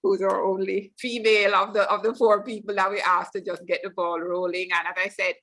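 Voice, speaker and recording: A man's voice: high-pitched, flat delivery, brisk, pushed and bright. A man moderating an informal roundtable, hesitantly searching for words as he hands over to the next speaker.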